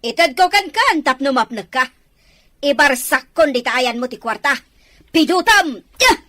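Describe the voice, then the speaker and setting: A woman's voice: high-pitched, lively intonation, a little slow, sharp tone, exaggerated voice. A woman playing a haughty, hot-tempered middle-aged mother in a Filipino radio-style drama, scornful and scolding. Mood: furious, scornful.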